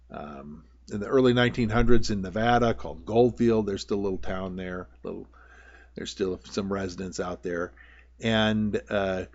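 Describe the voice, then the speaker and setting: A man's voice: lowish voice, darkish tone, muffled recording. An American man giving an informal, plainspoken instructional talk over slides, explaining things with some hesitant phrasing. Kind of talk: informal slide-show lecture.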